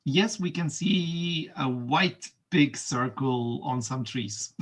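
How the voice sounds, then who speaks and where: A man moderating an online school video meeting, relaxed and chatty, with many fillers and small laughs. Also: a little high, slow pace. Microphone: video call.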